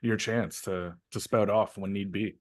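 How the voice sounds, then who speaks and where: A man's voice: on the low side, fairly even intonation, unhurried. A man co-hosting a comedy podcast, making dry, teasing remarks at his co-host. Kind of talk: casual comedic chat.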